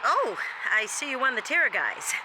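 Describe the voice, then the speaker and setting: A woman playing a composed, friendly young mission officer, conversational and briskly informative. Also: fairly high pitch, sing-song, background noise. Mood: composed, amused.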